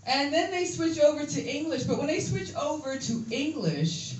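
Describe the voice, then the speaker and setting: A woman's voice: sits high, expressive, moderate pace, a little mellow. An American woman doing a stand-up comedy set, playful and laughing as she acts out people's questions. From United States. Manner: playful, laughing at her own jokes, acting out others' questions. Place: comedy venue with live audience. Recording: echoey room, distant from the mic.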